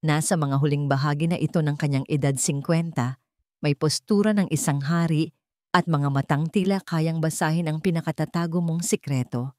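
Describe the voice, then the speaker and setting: A woman's voice: deep voice, normal speed, warm tone, dry recording, studio quality. A woman with a Filipino accent reading a scripted dramatic story as its narrator.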